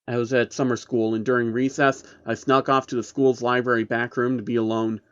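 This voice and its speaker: A man's voice: mid-range voice, flat delivery, fairly quick, fairly bright tone, smooth, highs cut off, natural voice. A man voicing a casual male internet commenter in a horror story, recalling childhood in an offhand way. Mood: offhand recollection.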